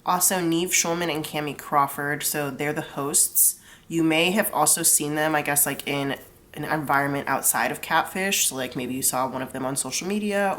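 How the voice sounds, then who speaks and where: A woman's voice: sits low, fairly bright tone. A young woman with a stuffed-up nose from a cold, chatting casually through a tarot reading and explaining each pull with lots of "like". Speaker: tarot reader.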